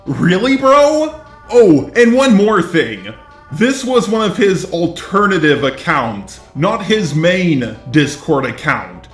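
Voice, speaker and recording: A man narrating scripted online commentary in a deliberate, sardonic manner. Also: on the high side, a bit bright. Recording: roomy echo, faint music. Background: faint music.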